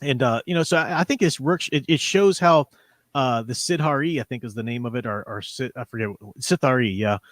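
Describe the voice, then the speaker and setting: A man's voice: medium pitch, fairly quick, a bit bright, no echo, studio quality. A man hosting a live stream, talking casually in an enthusiastic, opinionated, rambling way and reading out viewer comments.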